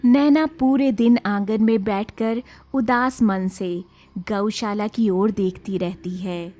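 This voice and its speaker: A woman's voice: mid-range voice, fairly even intonation, slow, mellow, faint music behind. A woman reading scripted storybook narration as the narrator of a tale.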